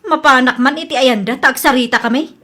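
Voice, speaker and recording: Actress as high-pitched indignant Ilocano woman. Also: a little fast, pushed and bright. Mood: defensive, indignant. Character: defensive grown woman accused of stealing at work. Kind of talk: Ilocano radio comedy drama acting.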